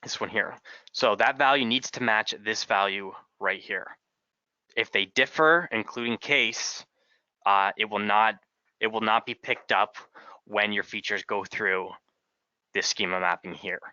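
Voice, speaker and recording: A man giving a software tutorial as a trainer, talking in a halting way with repeated words, in a slightly dull recording. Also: on the low side, no echo.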